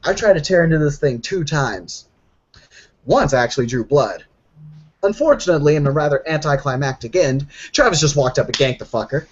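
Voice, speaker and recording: A man casually recounting an in-character roleplaying story with slangy, sweary, animated delivery. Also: a little high, a little fast, husky. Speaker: tabletop roleplay player. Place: online group voice call. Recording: dull lo-fi voice call.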